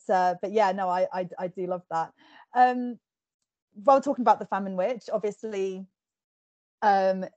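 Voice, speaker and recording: A woman's voice: mid-range voice, darkish tone, dry recording. Giggly chatty woman host, dry sound. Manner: chatty, giggly, many fillers and restarts. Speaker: podcast host interviewing an author. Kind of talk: casual interview chat.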